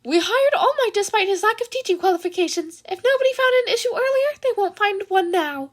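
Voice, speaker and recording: A woman's voice: extremely high pitch, expressive, fairly quick, pitched above the performer's own voice. A woman voicing a small, chipper, cunning animal school principal from anime, polite and formal, in a fan fiction reading. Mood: confident. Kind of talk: fan fiction audiobook character voice.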